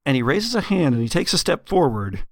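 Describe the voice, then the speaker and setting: A man narrating gothic horror as game master, with measured, dramatic, atmospheric storytelling. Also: fairly high pitch, big pitch swings, dry recording, clean studio sound.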